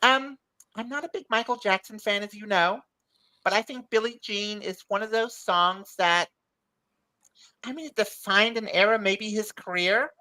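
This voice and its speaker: A woman's voice: medium pitch, normal speed, pushed and bright. A middle-aged woman losing her voice, chatting eagerly as a podcast panelist and often restarting her words. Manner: enthusiastic, repeats and restarts words. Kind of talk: casual chat.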